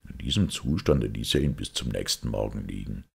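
A shrewd, pensive priest detective from an audiobook , voiced by a male reader. He is extremely deep and full of gravel, explaining his deductions calmly and deliberately. The voice is very husky. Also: full character voice. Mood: grave, matter-of-fact.

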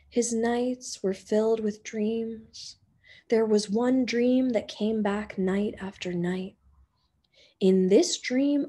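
A woman teacher reading a children's picture book aloud in a gentle storytelling manner, sometimes dropping to a whisper, recorded on a webcam in a small indoor room. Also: on the high side. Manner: slow, gentle storytelling with soft whispered passages. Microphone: webcam on a video call.

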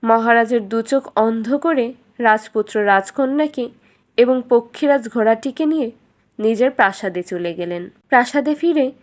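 Fairly high-pitched woman storyteller. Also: moderate pace. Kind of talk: scripted fairytale narration for children.

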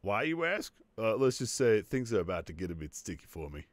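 Man acting a melodramatic, wildly swinging low-voiced slacker. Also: sing-song, a little fast, no room sound. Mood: smug, casual. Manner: theatrical, swings from whiny outbursts to mock-villain grandiosity. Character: melodramatic, sleazy, childish slacker man named Hakeem. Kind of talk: comedy cartoon voice acting.

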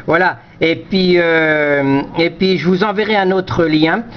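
A man's voice: fairly high pitch, steady tone, slow, clear, resonant tone. A man chatting casually over amateur radio, hesitant and often repeating his words. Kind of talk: casual two-way radio chat. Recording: dull lo-fi audio with slight noise.

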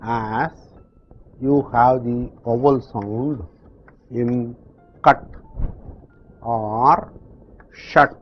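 Slow older man with South Indian accent. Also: mid-range voice, steady tone, lo-fi sound, soft background music. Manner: slow, deliberate explanations with pauses. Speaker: language teacher. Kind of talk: slow classroom instruction. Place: classroom with chalkboard.

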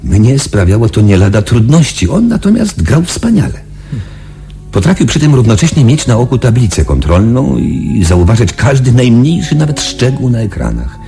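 An actor playing a shaken young space patrol pilot, confiding his story in a halting, dramatic radio-play delivery. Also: medium pitch, huge pitch swings, mellow, faint music behind. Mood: tense, hushed.

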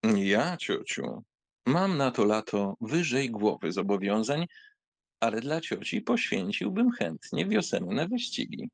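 A man's voice: mid-range voice, very animated, takes it slowly, vocal fry, no echo, exaggerated voice. A man playing a bored young aristocratic count in an audiobook drama, languid and dry, with hesitant hums. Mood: nonchalant, obliging. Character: bored, nonchalant young aristocrat count humouring his aunt.

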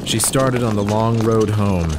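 An actor playing an earnest, uneasy man who tells his ordeal in measured, literary audio drama narration. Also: on the low side, fairly even intonation, background noise, close to an everyday voice. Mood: grave, uneasy. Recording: music behind the voice.

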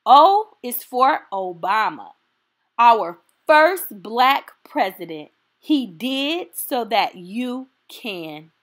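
Female storytime reader, fairly high voice, very animated intonation, unhurried and encouraging, for a children's picture book. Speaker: storytime host reading aloud.

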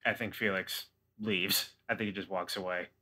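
A man's voice: low voice, fairly even intonation, moderate pace, bright, forceful tone, husky. A man casually improvising as a player in a tabletop role-playing game, tentative in his phrasing.

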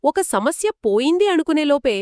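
A woman's voice: sits high, big pitch swings, normal speed, a little mellow, no echo, clean studio sound. A woman telling a children's story conversationally, engaged and dramatic as she speaks to young listeners.

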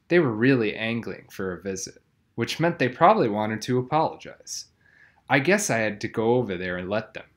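A man's voice: mid-range voice, a bit bright. Man reading a kids' novel aloud. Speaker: audiobook reader.

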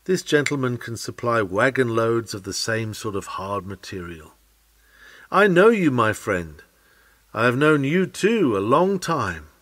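Man reading a sermon, very animated intonation. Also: medium pitch. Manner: earnest, oratorical, with deliberate phrasing. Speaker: sermon reader. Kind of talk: reading a Victorian sermon aloud.

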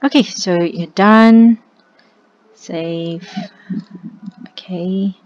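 A young woman explains a process step by step in a relaxed, conversational way. She sits at a computer and records a tutorial over her screen. The sound is slightly dull, with a faint hiss underneath. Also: on the low side, very slow.

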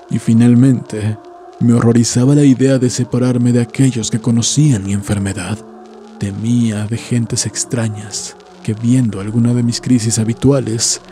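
A man reading a scripted horror story as an audiobook narrator, in a measured, solemn, literary manner. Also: medium pitch, dark tone. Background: background music.

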